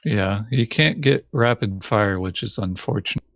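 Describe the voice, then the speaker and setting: A man with a fairly low voice talks very slowly and hesitantly during a board game. He is low-key and matter-of-fact. The sound is close and dry, with no room echo. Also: fairly bright tone. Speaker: board game player on a playthrough video. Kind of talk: casual chat while playing a board game.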